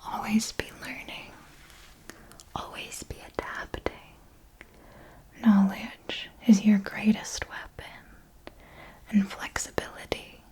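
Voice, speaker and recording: A woman playing an ancient female vampire mentor in an ASMR roleplay, speaking in a breathy whisper with a slow, deliberate, intimate and seductive manner. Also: mid-range voice, close to an everyday voice, low-quality recording. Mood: tender warning.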